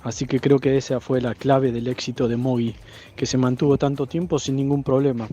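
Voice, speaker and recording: A man's voice: medium pitch, steady tone, a little fast, dark tone, soft background music. A man with an Argentine accent giving reflective interview talk.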